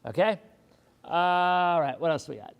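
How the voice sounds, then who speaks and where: Hoarse male weather host, slightly high voice, loose and repetitive live-stream chatter. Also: unhurried, muffled recording. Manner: chatty, often repeats words while thinking. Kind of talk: live-stream chat answering viewer questions.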